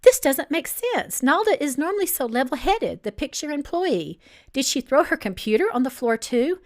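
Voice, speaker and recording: A tough young businesswoman , as a woman reader acts her out from a novel. She is firm and exasperated, with a high voice and wide, lively swings in pitch. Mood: alarmed. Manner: firm, dry, brisk.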